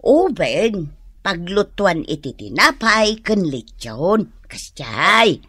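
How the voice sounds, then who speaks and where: A woman's voice: on the low side, big pitch swings, slow pace, a bit bright, husky, dry recording, clean studio sound, full character voice. A woman playing a boastful, teasing neighbour in a comedy radio drama, with an Ilocano accent and a sing-song drawl.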